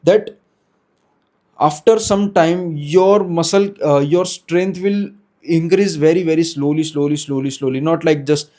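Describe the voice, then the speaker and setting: Young indian guy talking to his camera in a small room, kinda high voice but mellow, keeps stopping and restarting. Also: a little slow. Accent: Indian English. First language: Hindi. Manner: hesitant, frequent restarts and self-corrections. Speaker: fitness vlogger. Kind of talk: unscripted talk to camera.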